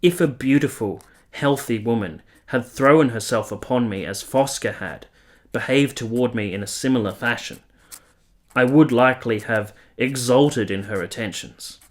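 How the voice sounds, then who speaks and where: An actor playing a brooding, conflicted nineteenth-century soldier, narrating a literary radio play in a formal, measured, eloquent manner. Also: male voice, medium pitch, close to an everyday voice. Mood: brooding, intense.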